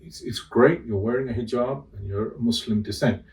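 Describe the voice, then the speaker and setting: A man's voice: medium pitch, normal speed, darkish tone. Probing male radio host, far off. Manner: probing, rambling questions. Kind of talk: radio interview. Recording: distant mic.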